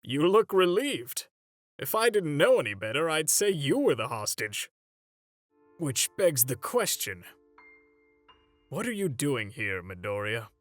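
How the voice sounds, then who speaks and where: A man playing an older superhero mentor in a comic dub, paternal and gently stern, with a theatrical, measured delivery. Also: a little high, very animated, soft background music. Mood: probing. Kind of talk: dramatic comic dub voice acting.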